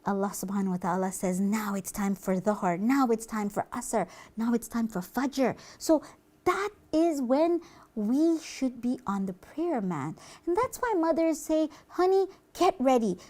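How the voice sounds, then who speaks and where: A woman voicing an earnest, pious good character in a children's puppet show, hesitant and gently reproachful. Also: a little high, animated, unhurried.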